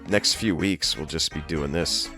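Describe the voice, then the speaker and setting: A man's voice: very deep voice, unhurried, bright, forceful tone, soft background music. A man co-hosting a casual podcast about a TV show. He rambles, restarts and laughs as he talks. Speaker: podcast co-host. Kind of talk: casual chat.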